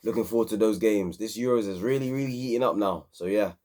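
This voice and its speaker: A young man hosting an excited, slangy football match review, a bit hoarse from screaming. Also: lowish voice, quick pace, slight background noise. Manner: animated, repetitive, slangy. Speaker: football channel host.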